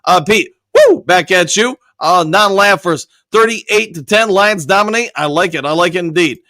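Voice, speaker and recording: Guy with a worn-out, almost gone voice, pretty high pitched, reading chat upbeat, dry sound. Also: normal speed, sharp tone, dry recording. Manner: upbeat, reads chat comments aloud and answers them. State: voice nearly worn out. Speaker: host reading viewer comments. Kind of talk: casual live-stream chat.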